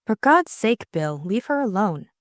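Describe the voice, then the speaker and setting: A woman's voice: medium pitch, sing-song, unhurried, no room sound, pitched above the performer's own voice. Woman as an impatient young lady, curt, cool, no-nonsense, audiobook character, dry close sound. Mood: cross.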